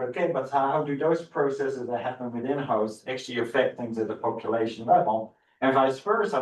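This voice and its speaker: Dutch-accented professor lecturing in an echoey room. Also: male voice, mid-range voice. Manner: enthusiastic, repeats words and restarts often. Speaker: biology professor giving a seminar. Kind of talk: university seminar lecture. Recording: echoey room, far from the mic.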